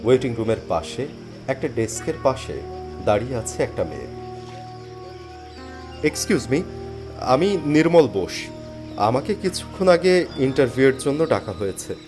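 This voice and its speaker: A man playing a weary, penniless job seeker who tells his story in expressive, plaintive dramatic narration. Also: medium pitch, normal speed, long pauses, close to an everyday voice. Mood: eager, excited. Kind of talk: first-person dramatic story narration and character dialogue. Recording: music behind the voice. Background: background music.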